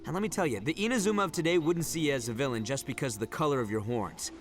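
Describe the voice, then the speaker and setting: A man's voice: mid-range voice, rapid-fire, lightly acted. An actor playing a brash, boastful young male oni gang leader who laughs often and talks in slang, in a video game. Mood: earnest. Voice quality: loud, rowdy and cheerful. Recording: game audio with music behind.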